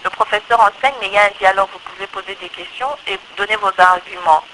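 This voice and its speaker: A woman's voice: mid-range voice, fairly even intonation, fast, pushed and bright, a little hiss or hum, low-quality recording. A woman in her thirties from the Congo with a Congolese accent, explaining her views in a conversational interview and repeating points for emphasis. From Democratic Republic of the Congo. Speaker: interview guest, electrical engineer.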